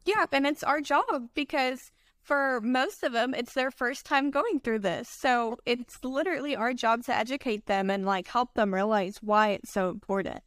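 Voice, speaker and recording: A woman's voice: a little high, normal speed, fairly bright tone, no echo, clean studio sound. A woman wedding videographer on a podcast, adding brief, matter-of-fact remarks. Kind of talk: casual podcast chat.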